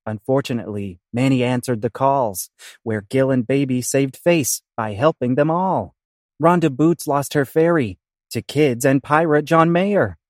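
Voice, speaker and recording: A man narrates a comic audio play in theatrical rhymed verse. His pitch is medium, and the recording is dry with no room sound. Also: measured pace, no room sound. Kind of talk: theatrical rhyming scripted narration.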